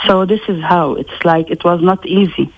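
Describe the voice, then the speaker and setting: Woman from lebanon, slow careful answers, low voice, muffled phone audio. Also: dry recording. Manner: measured, thoughtful, slow with pauses. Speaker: interviewee. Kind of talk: recorded interview. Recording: lo-fi phone line.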